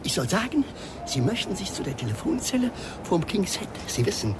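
A man's voice: high voice, very animated, brisk, noisy background. An actor playing a chatty, nosy male passer-by who rambles eagerly in a German radio crime drama. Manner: garrulous, rambling, ingratiating.

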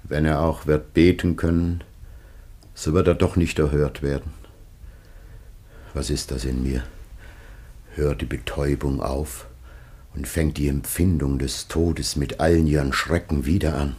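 A man's voice: rumbling low, steady tone, unhurried, long pauses, a little mellow, breathy edge, heavily acted. An actor playing an ancient dying father, solemn, anguished and tender, in a declamatory classic German radio play. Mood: grave dread.

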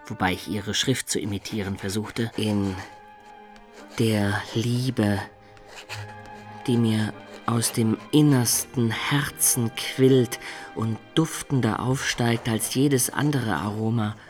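A man's voice: fairly low pitch, steady tone, slow, faint music behind. Lovesick, lyrical young medieval man. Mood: tender, confiding. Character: lovesick young medieval student recounting his life, yearning and poetic. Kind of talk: literary radio drama narration.

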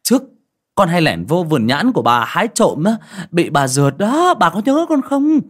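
A man's voice: high voice, sing-song, moderate pace, pitched above the performer's own voice. A man voicing an eager, cheerful young man in an audiobook, exclamatory. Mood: delighted. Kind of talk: audiobook character acting.

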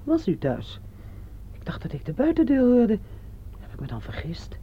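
An actress playing a nosy, suspicious landlady in a radio drama, brisk and insinuating. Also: on the high side, wildly swooping pitch, slightly rough, heavily acted. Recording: slightly dull radio play recording.

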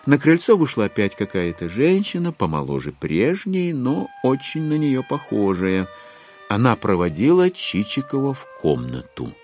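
A wry storyteller narrates a classic novel with very lively, expressive intonation. It is polished literary narration, with faint music underneath. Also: male voice, medium pitch, normal speed.

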